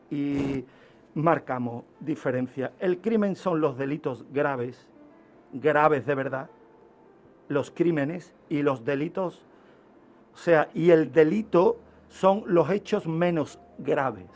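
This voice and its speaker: Male radio expert, Spain accent, unhurried delivery, fairly high voice, didactic and emphatic. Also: a little mellow. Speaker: guest criminologist explaining. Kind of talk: informal radio lecture.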